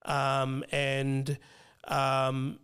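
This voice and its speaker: A young man with an Australian accent, whose first language was Fijian, talking casually as an interview guest, hesitant with many pauses and restarts. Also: mid-range voice, monotone, fairly bright tone, clear, resonant tone. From Western Sydney, Australia. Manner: hesitant, many ums and restarts, laughs easily. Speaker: financial planner guest.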